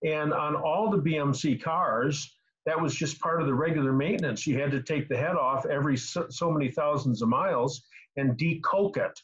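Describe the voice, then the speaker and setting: Older man, halting, on a dull video call. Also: a little high, fairly bright tone. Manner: halting, repeats words as he explains. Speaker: host answering car repair questions. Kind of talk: casual technical advice on a video call. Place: small home room indoors. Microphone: computer mic on a video call.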